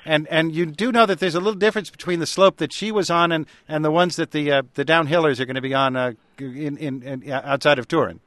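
A man's voice: a little high, steady tone, quick pace, few pauses, fairly bright tone, no room sound. Wry male call-in host. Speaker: radio host. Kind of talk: hosting a call-in radio show.

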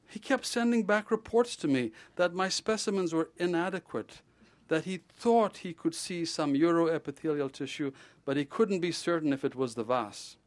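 A man voicing a blunt, jovial male surgeon who tells stories in a lively, teasing and theatrical way. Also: fairly high pitch, moderate pace, few pauses, voice pushed up, close to an everyday voice. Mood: amused, exasperated.

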